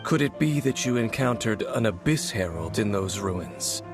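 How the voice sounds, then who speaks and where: A man voicing a serious, reserved, mysterious swordsman in a video game, speaking in a measured, formal, stoic manner. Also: lowish voice, lively intonation, close to an everyday voice. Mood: grave, composed. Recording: clear music behind. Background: game music.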